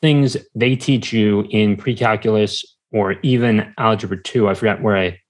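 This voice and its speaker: A man speaking as a guest in a casual interview, hesitant and halting as he searches for his words. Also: lowish voice, steady tone. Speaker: podcast guest, entrepreneur.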